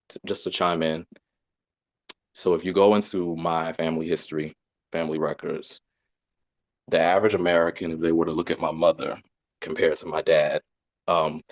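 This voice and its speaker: Deep-voiced man on a muffled call. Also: no echo. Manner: earnest, explaining, with fillers. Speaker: community discussion participant. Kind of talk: casual discussion on a video call. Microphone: video call. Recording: dull muffled video call audio.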